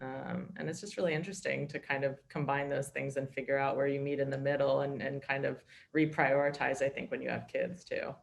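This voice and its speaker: A woman who grew up in New York City, a guest on a podcast, talking in a relaxed way with frequent restarts. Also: rumbling low, a little fast, gravelly, hardly any pauses. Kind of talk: casual interview chat.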